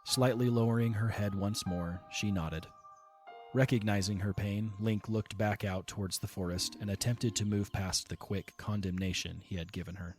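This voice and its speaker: A man narrating a fan audio drama in a calm, steady, scripted reading. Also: on the low side, normal speed, soft background music.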